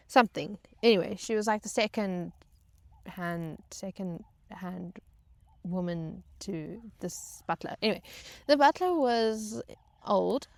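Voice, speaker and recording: Slow-paced woman storyteller, close dry sound. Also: medium pitch, vocal fry, dry recording. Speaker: vlog host telling stories. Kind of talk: casual personal storytelling.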